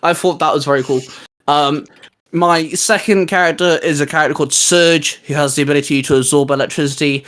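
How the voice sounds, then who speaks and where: A man co-hosting a casual geek chat about comics, speaking in a halting, self-interrupting way. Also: fairly high pitch, fairly even intonation, a bit bright. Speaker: co-host of a geek discussion show.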